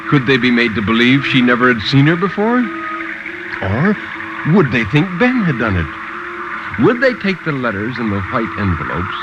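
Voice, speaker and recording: Dramatic male storyteller on noisy old radio. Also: mid-range voice. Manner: dramatic, intense, suspenseful delivery. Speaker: narrator, story reader. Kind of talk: 1950s radio horror story narration. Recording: noisy, muffled old 1950s radio recording.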